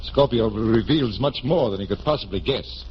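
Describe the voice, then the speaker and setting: An actor playing a suave, teasing magician detective with a wry, measured manner, in 1940s radio style. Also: male voice, mid-range voice, lively intonation, close to an everyday voice. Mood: calmly instructing. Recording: muffled, hissy vintage radio recording.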